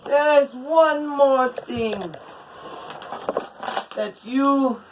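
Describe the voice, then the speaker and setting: A man voicing a furious, vengeful female frost giantess who shouts her demands. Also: very high-pitched, drawn-out words, noisy background, pitched above the performer's own voice, full character voice. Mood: raging.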